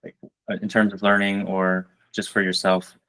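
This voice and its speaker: Low, bright-toned male interviewer. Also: completely flat delivery, normal speed, clear, resonant tone. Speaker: podcast host. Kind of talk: interview questions.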